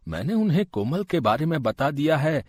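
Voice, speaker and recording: A man voicing a middle-aged father-in-law in a moral story, a husky, measured and principled patriarch. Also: fairly high pitch, big pitch swings, a little mellow, no room sound, voice pushed up. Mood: concerned.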